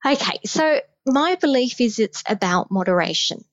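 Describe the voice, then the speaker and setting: A woman's voice: fairly high pitch, animated, moderate pace, dry recording, dull audio. A woman with an Australian accent, a guest on a podcast, giving emphatic, direct advice on health in midlife. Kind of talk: podcast conversation.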